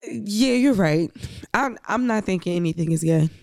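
A woman in her twenties chatting on a podcast, blunt in her objections and quick to laugh. Her voice has a gravelly fry. The sound is dry and close. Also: medium pitch, animated, darkish tone, dry recording. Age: 20s. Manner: blunt protests, frequent laughter. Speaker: podcast co-host. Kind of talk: casual podcast chat.

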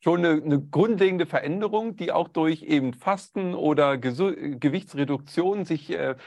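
A male interviewer summarizing and asking questions, measured, with frequent hesitation fillers. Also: fairly high pitch, a little mellow, no echo. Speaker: interviewer, host.

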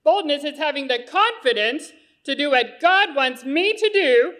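A woman voicing a sensible, excitable puppet friend in a children's church show, earnest and instructive. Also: high voice, moderate pace. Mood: earnest teaching.

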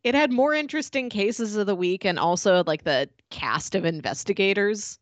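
A woman's voice: mid-range voice, animated, normal speed, no echo. A woman co-hosting a podcast, chatting casually as she reviews and recommends. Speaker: podcast co-host.

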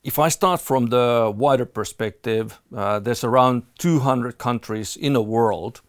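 Finnish-accented man, halting and dry. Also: medium pitch, clean tone, dry recording. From Finland. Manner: hesitant, many "uh" fillers. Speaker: guest, fund manager. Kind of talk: podcast interview.

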